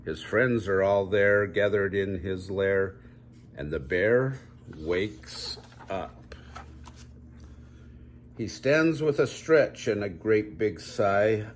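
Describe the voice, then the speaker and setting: An older man reading a children's story aloud at home in measured, drawn-out storybook phrasing. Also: fairly low pitch, a little hiss or hum. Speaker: grandpa reading a picture book aloud. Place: small home room, indoors.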